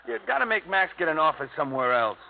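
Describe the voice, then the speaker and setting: A prim, resentful son and bank clerk, nagging. The voice sits a little high, in 1950s radio style on a narrow, tinny broadcast. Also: male voice, sing-song, fast, gravelly, a little hiss or hum. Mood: nagging, disapproving. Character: fussy, resentful banker's son. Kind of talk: 1950s radio drama acting.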